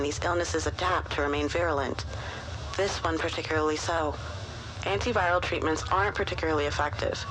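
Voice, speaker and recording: An actor playing a precise, scholarly ship's doctor, giving a measured and formal report in a wordy clinical manner. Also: male voice, fairly high pitch, lightly acted. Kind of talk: in-game audio log, formal medical report. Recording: noisy radio-style log with ambient hum.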